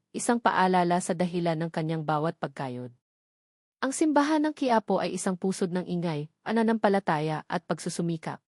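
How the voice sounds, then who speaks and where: A woman narrating a scripted love story in a measured, descriptive storytelling manner. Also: medium pitch, darkish tone, no echo, studio quality.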